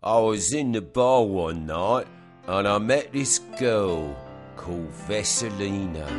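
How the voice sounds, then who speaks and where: An actor playing a dopey, girl-crazy secret agent in a comic radio play, rambling in a dreamy, laddish way. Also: on the low side. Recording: music behind the voice.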